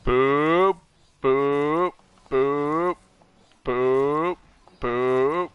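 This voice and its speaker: A man chatting casually while streaming an online game, excitable and given to swearing. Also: a little high, extremely slow, clean tone, lo-fi sound. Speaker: gaming streamer. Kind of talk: casual chat while playing a co-op online shooter.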